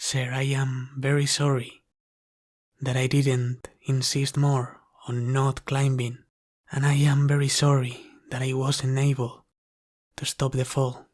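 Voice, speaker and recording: A man's voice: mid-range voice, monotone, takes it slowly, darkish tone, natural voice. A man playing a devoted, formal half-dragon butler in an ASMR roleplay, speaking deferentially and caringly to the one he serves. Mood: remorseful.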